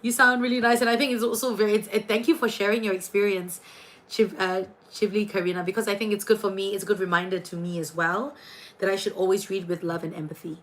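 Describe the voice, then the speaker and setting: Brief: young woman with a New Zealand accent, tarot reader on a livestream, chatty and a bit tired, mid-range pitch. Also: fast. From New Zealand, raised partly in Singapore. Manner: chatty, animated, reading out viewer names. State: tired, late at night. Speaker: tarot and astrology reader hosting a live stream. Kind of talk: casual livestream chat with viewers.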